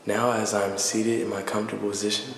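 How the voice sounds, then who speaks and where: A man leading a guided meditation in a calm, deliberate, soothing manner, pausing between measured phrases. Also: fairly low pitch. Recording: echoey reverberant voice with faint noise.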